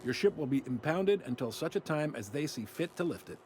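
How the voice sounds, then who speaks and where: A man's voice: on the high side, animated, a little fast, a little mellow, a little hiss or hum, natural voice. A man playing a wry, folksy space-station customs officer in a video game, with a droll, casually apologetic drawl. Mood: apologetic, official. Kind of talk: video game character acting.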